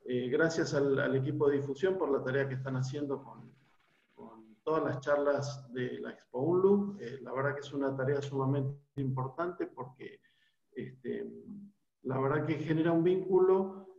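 A man's voice: medium pitch, normal speed, creaky, darkish tone. A man in his forties with an Argentine accent, a university lecturer talking to prospective students on a video call, hesitant with many fillers and restarts. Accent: Argentine Spanish. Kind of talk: informal talk to prospective students. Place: small room at home. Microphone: laptop webcam on video call. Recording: echoey room, dull lo-fi video call.

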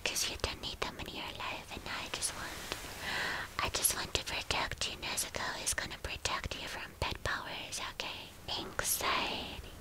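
A woman doing an ASMR roleplay as a tender, protective anime demon girlfriend, in a breathy whisper, repeating words soothingly. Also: moderate pace, close to an everyday voice, low-quality recording. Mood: tender, reassuring. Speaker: ASMR performer.

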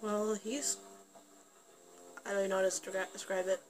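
A woman's voice: medium pitch, little pitch movement, moderate pace, poor audio. A young adult woman giving casual reaction commentary as a video host, recorded in a room at home. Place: small room at home, indoors.

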